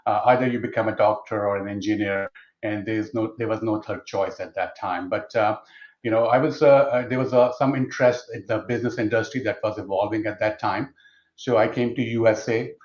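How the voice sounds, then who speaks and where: A man's voice: lowish voice, fairly even intonation, a little fast, a little mellow. A man in his fifties from Pakistan, a guest speaking on a video call, hesitant with many fillers. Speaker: guest, IT executive. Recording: dull roomy video call audio.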